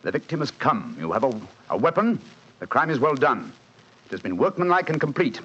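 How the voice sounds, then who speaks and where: A man acting a brilliant, self-assured English detective in a 1940s radio drama, lecturing crisply and logically in a British accent. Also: medium pitch, very animated, fairly quick, pushed and bright, heavily acted. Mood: insistent reasoning. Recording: dull, hissy 1940s radio recording.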